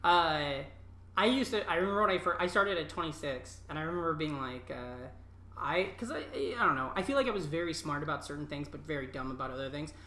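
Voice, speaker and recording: A man's voice: a little high, a little fast, sharp tone. A man in his thirties from Southwest Iowa, a comedian guest talking in a rambling, upbeat way with casual swearing. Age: 30s. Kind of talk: casual podcast chat. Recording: roomy echo.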